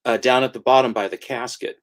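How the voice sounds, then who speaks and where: A man co-hosting a casual livestream chat, reacting with quick, animated remarks. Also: mid-range voice.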